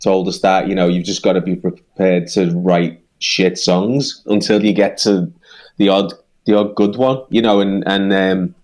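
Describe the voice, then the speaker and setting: Brief: Liverpool man, low voice, earnest, stumbling co-host. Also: flat delivery, a little mellow. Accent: Liverpool English. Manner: halting, repeats himself a lot, earnest. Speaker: songwriter and podcast co-host. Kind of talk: casual chat.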